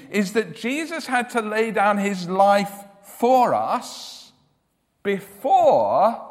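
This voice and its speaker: Loud, high-voiced male preacher in a hall. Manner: emphatic, repeats words when starting sentences. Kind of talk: sermon.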